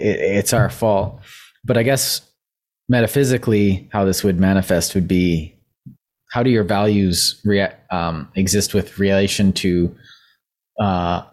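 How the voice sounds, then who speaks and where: A young man with a notably deep, low-pitched voice talks through ideas on a casual podcast. He is hoarse from losing his voice and rambles, thinking aloud with frequent fillers and restarts. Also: darkish tone. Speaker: podcast co-host.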